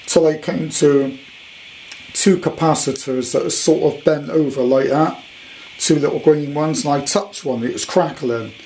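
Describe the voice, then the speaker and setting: Higher-voiced British man in his sixties, noisy background. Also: dark tone. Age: 60s. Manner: rambling, unscripted, chatty. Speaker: radio collector presenting his item. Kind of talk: casual hands-on show-and-tell.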